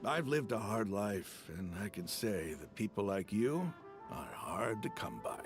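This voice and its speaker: An actor playing a frank, persuasive desert mercenary leader in a dramatic video game scene, earnest and argumentative. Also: male voice, lowish voice, very animated, unhurried. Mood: frank, resolute. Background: music.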